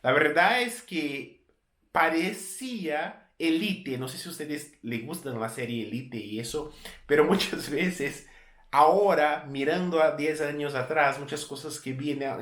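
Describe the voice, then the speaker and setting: A man's voice: fairly high pitch, unhurried. Man, Brazilian Portuguese accent, thirties, travel vlogger, casual storytelling, self-correcting, roomy echo. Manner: relaxed, repeats and corrects words mid-sentence.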